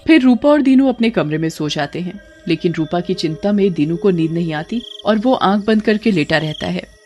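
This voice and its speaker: A woman's voice: lowish voice, expressive, normal speed, darkish tone, faint music behind. A woman storyteller giving scripted narration of a horror folk tale.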